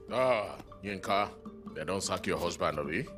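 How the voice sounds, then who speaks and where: An actor playing a teasing Lagos man with a Nigerian Pidgin accent, mocking and laughing. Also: low voice.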